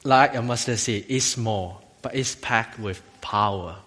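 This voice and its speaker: Halting Hong Kong man preaching, bright voice. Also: on the low side. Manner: halting, jokey, self-deprecating. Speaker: assistant lay minister preaching. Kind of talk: informal children's sermon to a congregation. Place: church, speaking to a congregation. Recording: long hall reverb.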